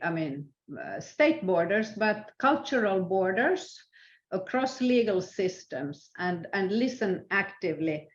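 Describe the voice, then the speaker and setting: An older woman whose native language is Swedish, answering interview questions as a senior guest in a measured, halting, thoughtful way. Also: medium pitch. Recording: roomy echo, dull lo-fi sound.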